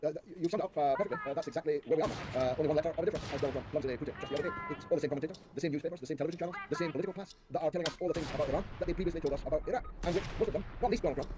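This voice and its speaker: A man's voice: on the high side, rapid-fire, hardly any pauses, a crowd in the background. An older man giving political commentary as an interview guest, speaking emphatically with rhetorical lists and questions.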